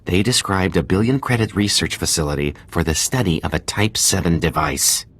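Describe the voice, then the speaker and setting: Deep-voiced, sober informant. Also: male voice, normal speed, a bit bright. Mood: sober, concerned. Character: sober, cautious male informant in hiding. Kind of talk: video game dialogue.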